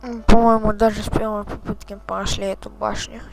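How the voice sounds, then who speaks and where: A man's voice: high-pitched, flat delivery, brisk, gravelly, soft background music, low-quality recording. A man hosting a gaming channel, chatting casually and swearing as he reacts to the game. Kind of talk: casual let's-play commentary.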